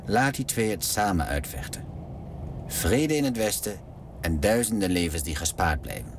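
Sly royal son, low-ish lively voice, noisy background. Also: male voice, moderate pace. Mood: persuasive. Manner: conspiratorial, persuasive. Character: scheming royal son, persuasive. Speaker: queen's son. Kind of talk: radio drama acting.

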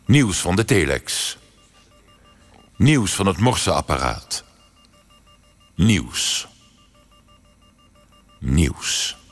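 A man's voice: low-pitched, sing-song, extremely slow, long pauses, faint music behind. A man announcing radio programme jingles and news segment titles.

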